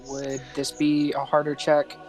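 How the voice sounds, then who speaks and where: A man playing a tabletop game, talking casually, halting and repeating himself as he deliberates. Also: a little high, completely flat delivery. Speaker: tabletop roleplaying player. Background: music.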